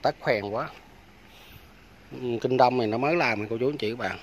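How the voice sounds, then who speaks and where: A man's voice: medium pitch, moderate pace, highs cut off, a little hiss or hum. Chatty man touring a farm. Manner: chatty, keeps addressing viewers as aunts, uncles and friends. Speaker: vlogger giving a farm tour. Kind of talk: casual walking tour narration. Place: outdoors on a rural farm by fish ponds.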